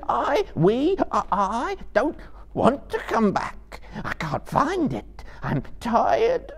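A man voicing a wretched, pitiful creature that whines, hisses and sobs in a high raspy voice. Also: huge pitch swings, takes it slowly, exaggerated voice. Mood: sobbing. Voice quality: high whining, rasping and hissing. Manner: sobbing, whimpering, shrieking in bursts. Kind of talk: audiobook character voice.

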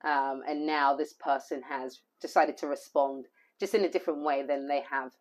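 A hoarse woman giving an intuitive tarot reading, rambling and repeating phrases with plenty of fillers. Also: low voice, fairly even intonation, normal speed. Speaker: tarot reader.

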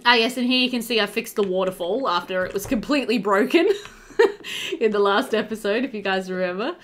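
A woman's voice: medium pitch, animated, a little fast, a bit bright. A woman in her thirties giving casual, chatty let's-play commentary, easygoing and thinking aloud as she plays. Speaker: gaming video host.

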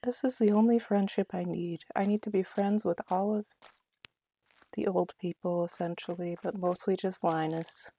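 Woman, game streamer. Soft-edged tone with vocal fry, playful, off-the-cuff commentary to herself and viewers. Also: lowish voice, fairly even intonation, moderate pace. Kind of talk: casual live gameplay commentary.

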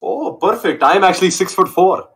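A young man on a video call through a gaming headset, joking and boasting in a loud, cocky way. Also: high-pitched, expressive, moderate pace. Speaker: online date contestant. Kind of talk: casual video call banter.

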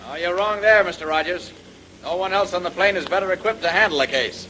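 An actor playing a plain-spoken, loyal detective's companion in a 1930s radio mystery. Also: male voice, high voice, fast talker, sharp tone. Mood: assured. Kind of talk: 1930s radio drama acting. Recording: noisy vintage 1930s radio recording.

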